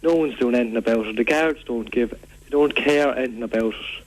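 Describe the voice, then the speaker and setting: A man's voice: mid-range voice, a little fast, close to an everyday voice. A man playing an aggrieved, rambling Irish radio caller. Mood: aggrieved, earnest. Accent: Irish, County Waterford. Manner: rambling, repetitive, earnest complaining. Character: aggrieved, rambling local man fed up with food-throwing youths. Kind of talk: prank call to a local radio show. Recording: phone call on local radio.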